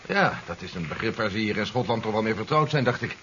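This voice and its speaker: An actor playing an earnest investigator who explains things formally and urgently in a 1970s Dutch radio drama. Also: male voice, lowish voice, expressive, fast, pushed and bright. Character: earnest male investigator warning of an alien invasion. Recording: dull, noisy 1970s radio recording.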